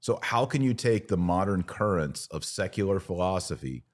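A man's voice: sits low, expressive, moderate pace. A man hosting a podcast, giving conversational religious commentary in an emphatic, argumentative way with rhetorical questions. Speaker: podcast host.

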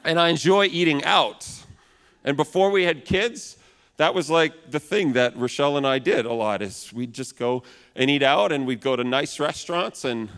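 A man in his thirties preaching a sermon in a loud, big voice, now and then acting out complaining customers. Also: a little high, moderate pace, smooth. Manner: acts out complaining customers in raised voice. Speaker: pastor. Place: large church hall. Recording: long hall reverb.

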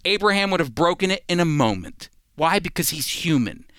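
Lively emphatic male radio Bible teacher. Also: on the high side, brisk, clean tone, dry recording, studio quality. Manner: emphatic, rhetorical questions to listeners.

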